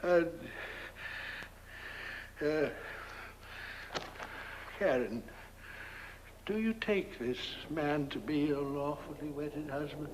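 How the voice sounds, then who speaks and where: An actor playing a flustered, reluctant minister who stammers haltingly through a ceremony in a film comedy. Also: male voice, on the high side. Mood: flustered, uneasy. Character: flustered, reluctant minister performing a bizarre wedding. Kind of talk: 1970s horror comedy film acting. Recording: noisy old film soundtrack.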